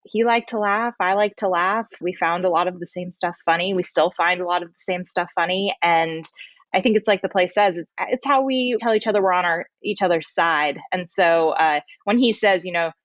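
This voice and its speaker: Young woman writer chatting in an interview, mid pitch, slightly dull dry audio. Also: moderate pace, no room sound. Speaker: playwright. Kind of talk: casual interview chat.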